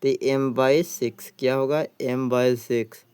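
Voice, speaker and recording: Slow, clear male exam tutor. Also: mid-range voice, fairly even intonation, a little mellow, no echo. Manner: deliberate, repeats answers. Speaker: exam coaching teacher. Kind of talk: reading out practice questions and answers.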